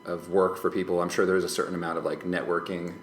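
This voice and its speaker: A man's voice: sits low, flat delivery, fast talker. A man chatting casually as a podcast guest, thoughtful and tentative, rambling as he works out his answers. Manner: hesitant, tentative, rambling with hedged phrasing. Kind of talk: casual reflective chat. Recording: roomy echo, faint background noise.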